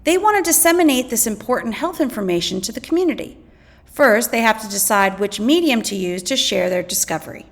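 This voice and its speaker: A woman teacher reading a scripted lesson aloud, deliberately and clearly enunciated, pausing between items. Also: fairly high pitch, lively intonation. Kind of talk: scripted educational read-aloud.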